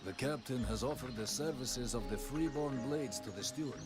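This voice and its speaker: An actor playing a solemn third-person knight. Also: male voice, mid-range voice, normal speed, close to an everyday voice. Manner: formal, speaks of himself in third person. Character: proud male knight warrior, third-person speech. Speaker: game character. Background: game music.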